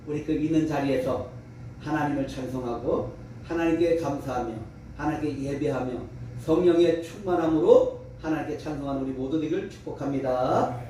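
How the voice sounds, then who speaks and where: A male revival preacher speaks in a high voice with emphatic, exhorting delivery. The sound is heavily echoing and picked up from a distance, with faint noise behind him. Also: dark tone. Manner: emphatic, exhorting, with rhetorical questions. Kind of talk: fervent revival sermon and prayer. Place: large echoey room at a revival meeting.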